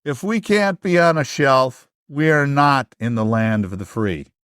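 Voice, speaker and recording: Man playing a melodramatic, slow-talking history book puppet. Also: medium pitch, fairly bright tone, clean tone, dry recording, studio quality, lightly acted. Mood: indignant. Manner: melodramatic, exclaiming, drawn-out delivery. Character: dramatic, anxious old-fashioned history book puppet, male. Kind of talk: theatrical puppet musical acting.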